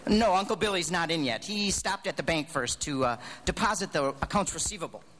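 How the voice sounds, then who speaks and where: An actor playing an earnest, excitable small-town family man with a stammering manner in a live radio drama. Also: a little high, fairly quick, a little hiss or hum, close to an everyday voice. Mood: excited, hurried.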